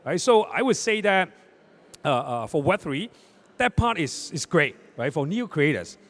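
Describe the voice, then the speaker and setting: Guy on a panel, kinda high voice, bounces all over, keeps saying right?. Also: a little slow. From Hong Kong. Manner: animated, tags statements with "right?". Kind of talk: panel discussion. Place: conference stage.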